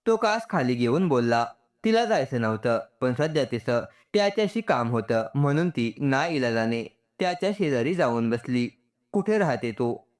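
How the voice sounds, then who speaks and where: A man's voice: medium pitch, animated, normal speed. Male storyteller narrating.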